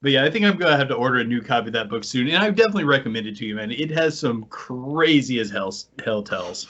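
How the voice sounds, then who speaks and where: A man co-hosting a podcast in relaxed, casual conversation. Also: mid-range voice, fast. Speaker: podcast host. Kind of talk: casual chat. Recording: dull lo-fi podcast audio.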